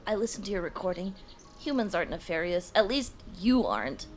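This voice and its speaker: A woman's voice: mid-range voice, very animated, brisk, faint music behind, lightly acted. A woman playing an earnest alien disguised as a preteen boy, with formal, stilted wording, in a children's audio drama. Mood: apologetic.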